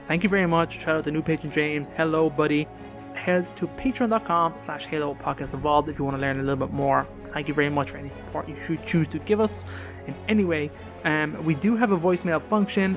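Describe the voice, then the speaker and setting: Higher-pitched man hosting a casual podcast. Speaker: podcast host.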